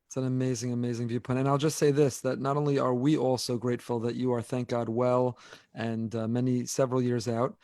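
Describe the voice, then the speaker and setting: A man's voice: medium pitch, flat delivery, brisk, a little mellow, no echo, studio quality. A man in his forties, a rabbi hosting an unscripted podcast discussion, talking earnestly and repeating words as he builds his point. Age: 40s.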